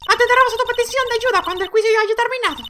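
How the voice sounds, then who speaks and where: Man as a shrill, haughty woman prosecutor. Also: very fast, background noise. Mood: impatient. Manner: stern, scornful, commanding.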